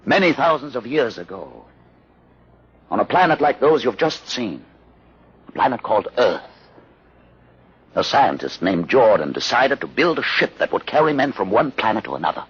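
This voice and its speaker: An actor playing a grave, learned leader of outcasts in a solemn, measured 1950s radio drama style. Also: male voice, medium pitch, very animated, slightly rough, exaggerated voice. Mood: solemn narrating. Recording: dull lo-fi old radio recording.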